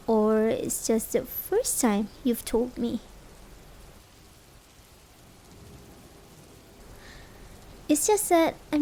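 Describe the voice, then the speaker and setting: A woman's voice: sits high, dark tone. A woman acting a caring, worried, hesitant partner in a realistic slice-of-life ASMR roleplay. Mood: reluctant, thoughtful. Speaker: amateur voice actor. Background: rain sound effects.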